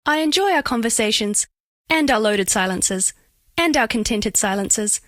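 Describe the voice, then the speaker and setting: A high-pitched female alien of living diamond for a video game. She is bashful yet flirtatious, curious and literal-minded, with formal, carefully precise wording. The recording is very dry, with no room sound. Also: expressive, normal speed, fairly bright tone, dry recording. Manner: precise, stilted formal diction, earnest, coyly playful. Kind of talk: scripted game character voice lines.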